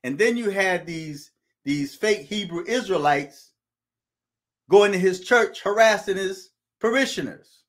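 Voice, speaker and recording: A man's voice: high-pitched, animated, measured pace. An older man hosting a solo podcast from a home studio, talking into a desk mic in a preachy, scolding way and repeating his points for emphasis. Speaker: podcast host. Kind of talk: solo commentary rant.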